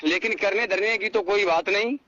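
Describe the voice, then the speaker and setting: A man's voice: sits high, normal speed, pushed and bright, no echo. A man with a rural North Indian Hindi accent, speaking insistently and repeating himself as he airs a personal grievance over the phone. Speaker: phone caller. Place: recorded phone call. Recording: muffled phone call with hiss and clicks.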